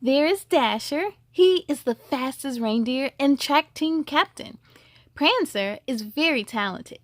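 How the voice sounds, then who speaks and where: An upbeat storybook elf voiced by a woman reader speaks in a high voice. The delivery is proud, emphatic and animated, as if presenting to children. The sound is dry. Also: measured pace, dry recording. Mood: bright, admiring.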